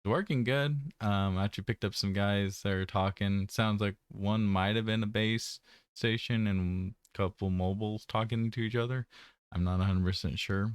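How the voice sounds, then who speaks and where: A man's voice: lowish voice, steady tone, moderate pace, clear, resonant tone, dry recording, clean studio sound. A man giving a casual personal update, rambling and hesitant, often trailing off mid-thought. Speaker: vlogger.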